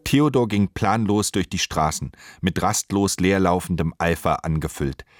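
A man with a very deep voice narrates literary fiction. He speaks in a measured, precise way, recorded dry and close. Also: dry recording, soft background music. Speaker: radio play narrator.

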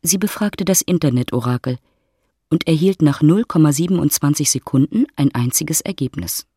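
Wry literary storyteller. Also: female voice, low-pitched, expressive, moderate pace, mellow, breathy edge, no echo, heavily acted. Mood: wry, composed. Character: storyteller narrating a wry urban love story. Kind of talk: scripted literary narration in a German radio play.

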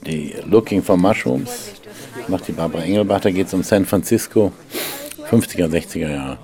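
An actor playing a reflective, detached bystander in naturalistic radio drama, talking in a halting, resigned, conversational way. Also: male voice, low-pitched, creaky, warm tone. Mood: matter-of-fact. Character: reflective, detached male bystander watching others fight.

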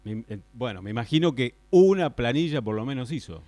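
A man with an Argentine accent playing a forgetful, exasperated office boss in a radio play, speaking haltingly and repeating himself. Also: mid-range voice, animated, darkish tone, dry recording, natural voice. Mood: disappointed, weary. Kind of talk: improvised radio play acting.

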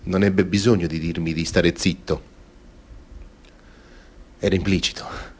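An actor playing a grave former seminarian giving wounded testimony in a solemn, measured, theatrical monologue, in speech. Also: male voice, low voice, steady tone. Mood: grave, pained.

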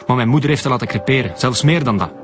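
An actor playing a cynical, bitter comedian son with a Flemish accent and a mocking, defiant manner in a radio drama. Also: male voice, mid-range voice, rapid-fire, music in the background, lightly acted. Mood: bitter, scornful.

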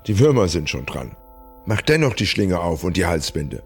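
An actor in a German radio play playing a commanding landlord who also narrates in a literary, dramatic style. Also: male voice, sing-song, normal speed, breathy edge, soft background music, heavily acted. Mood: urgent, commanding. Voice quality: low-pitched. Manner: dramatic, varied delivery.

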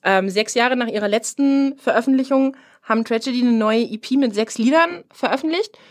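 A woman with a cold, sounding stuffy, hosting a radio show in a relaxed chatty way with frequent hesitations. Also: on the high side, a little fast. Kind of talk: casual radio chat.

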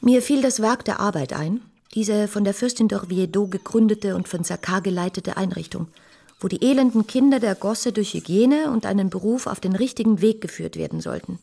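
An actress playing a composed, compassionate bourgeois lady who tells her story in a measured, earnest radio drama style. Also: medium pitch, creaky, warm tone. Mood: troubled, resolute. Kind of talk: radio drama narration and dialogue.

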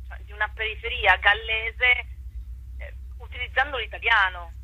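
A woman talking off the cuff as an interview guest, expressive, thinking aloud and repeating words for emphasis. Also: fairly high pitch, moderate pace, husky, no echo, low-quality recording. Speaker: theatre actress and director interviewed about her play. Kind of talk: unscripted radio interview chat.

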